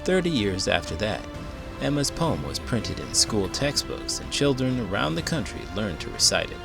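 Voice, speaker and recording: A man's voice: on the low side, sing-song, moderate pace, vocal fry, music in the background. A man reading a children's book aloud as a host, with dramatic, emphatic delivery. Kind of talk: expressive storybook read-aloud.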